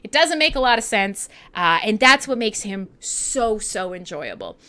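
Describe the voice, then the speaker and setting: A woman with a Canadian accent hosts a casual music radio show. Her voice is bright and forceful, with very lively swings in pitch. She rambles irreverently, joking and swearing freely as she chats to listeners. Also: moderate pace. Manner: sweary, jokey, rambling, laughs often. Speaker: online radio show host and DJ. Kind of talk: casual irreverent live radio chat between songs.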